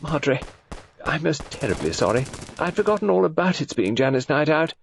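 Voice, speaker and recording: A man's voice: a little high, very animated, brisk, gravelly, a little hiss or hum, pitched above the performer's own voice. A man voicing an eager, gushing, apologetic younger man in an audiobook. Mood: contrite.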